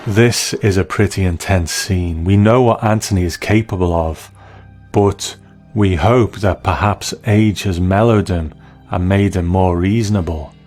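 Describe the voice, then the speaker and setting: A man's voice: deep voice, unhurried, darkish tone, faint music behind. A man delivering scripted commentary as the narrator of a review.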